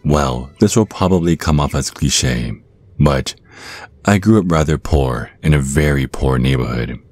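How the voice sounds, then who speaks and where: Man playing a very deep-voiced suave vampire magician. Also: unhurried, a little mellow, dry recording. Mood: smug, flirtatious. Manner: theatrical, teasing, pompous. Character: suave, theatrical male vampire stage magician, teasing and boastful. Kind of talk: ASMR roleplay.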